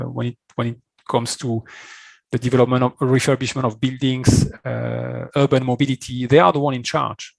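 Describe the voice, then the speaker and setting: A man speaking off the cuff as a conference panelist, explaining in a halting way and often repeating words. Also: medium pitch, creaky, dry recording, low-quality recording. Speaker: conference speaker, climate initiative representative. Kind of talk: spontaneous explanation at an online conference.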